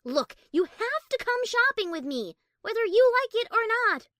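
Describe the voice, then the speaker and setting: A woman voicing a prim high-class pony in a fan audiobook, formal, haughty and drily sardonic, yet fond. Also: big pitch swings, moderate pace, fairly bright tone, dry recording, clean studio sound, exaggerated voice. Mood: insistent. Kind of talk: fan audiobook character acting.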